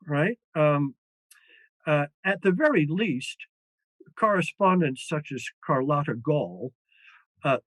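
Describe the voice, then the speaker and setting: Halting older man, dry close sound. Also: on the high side, no echo, rough recording. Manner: halting, many fillers and restarts. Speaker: guest, former foreign correspondent. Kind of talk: interview conversation.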